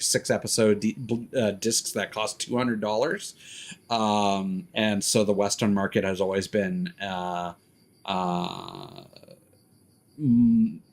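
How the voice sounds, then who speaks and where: A man live streaming a game, chatting casually with rambling, profane, opinionated commentary. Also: on the low side. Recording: music behind the voice.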